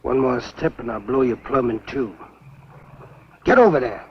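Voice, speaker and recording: A man's voice: mid-range voice, normal speed, natural voice. A man playing a jealous, enraged husband with an American accent, barking menacing orders in 1950s B-movie style. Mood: cold threatening anger. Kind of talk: 1950s B-movie film acting. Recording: muffled, noisy old 1950s film soundtrack.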